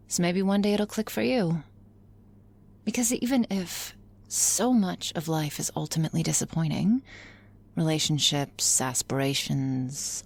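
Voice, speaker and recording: A woman plays a confident female office boss in an intimate ASMR roleplay. Her voice sits fairly low with plenty of fry. She speaks frankly and swears freely, musing with wry pauses as if to one listener. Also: darkish tone, exaggerated voice. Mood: earnest.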